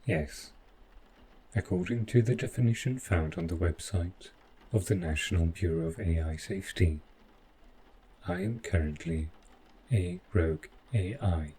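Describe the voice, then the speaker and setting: Man voicing a slow, deep, possessive robot butler. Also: dark tone, slight background noise. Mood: calm, explanatory. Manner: formal, measured, stilted politeness. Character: overprotective male robot butler gone rogue, calmly possessive. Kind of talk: scripted audio roleplay addressed to the listener.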